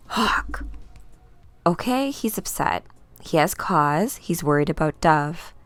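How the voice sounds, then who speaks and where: A woman giving a dramatic, theatrical read-aloud of a comic book, acting out the characters slowly and deliberately. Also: low-pitched, a bit bright, dry recording. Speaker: comic book reader performing all characters.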